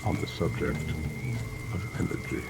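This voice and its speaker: A man's voice: rumbling low, unhurried, vocal fry. A man giving dramatic, scripted audiobook narration. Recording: loud music and rain over voice. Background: music and rain sounds.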